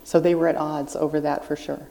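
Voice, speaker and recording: Reflective woman author, low and mellow. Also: little pitch movement, a little fast, vocal fry. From South Dakota, USA. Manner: earnest, reflective, thinking aloud. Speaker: guest author. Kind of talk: interview on stage. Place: stage at a live book festival event.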